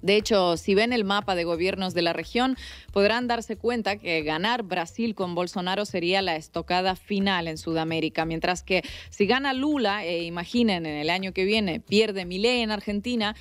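A woman presenting news analysis in a clear, deliberate way. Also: mid-range voice, dry recording.

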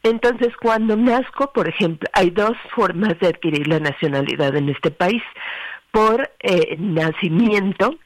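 Measured older woman explaining law. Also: mid-range voice, expressive, no echo, rough recording. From Mexico. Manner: measured, didactic, uses hesitation fillers. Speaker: retired judge, guest. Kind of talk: explanatory radio interview.

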